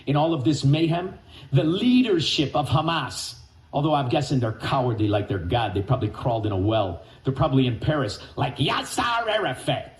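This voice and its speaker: A man hosting talk radio, ranting and mocking with name-calling and sudden shouted bursts. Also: on the high side, reverberant, rough recording. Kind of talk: angry talk radio rant.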